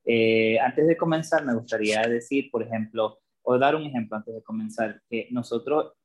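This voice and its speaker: A man from Ecuador with a medium-pitched voice, talking casually on a podcast. He often repeats words and restarts his sentences as he works through his thoughts. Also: normal speed. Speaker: podcast co-host. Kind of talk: casual conversation.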